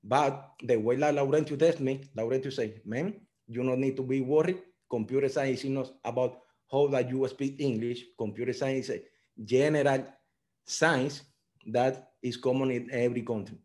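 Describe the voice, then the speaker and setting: Young Cuban-accented man, mellow tone, earnest non-native English over a video call. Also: medium pitch, steady tone, normal speed. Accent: Cuban Spanish. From Cuba. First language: Spanish. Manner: earnest storytelling in simple learner English. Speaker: software engineer guest. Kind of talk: online panel talk.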